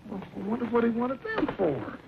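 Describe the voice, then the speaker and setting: An actor playing a folksy, excitable comic cowboy sidekick with a gravelly voice in an old-time western. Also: male voice, very high voice, brisk, full character voice. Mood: grumbling, puzzled. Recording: dull, noisy old radio recording.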